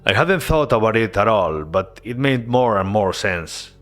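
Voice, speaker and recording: A man narrating his game-development devlog as a voice-over, earnest and relaxed, with casual asides. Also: on the low side, measured pace, faint music behind.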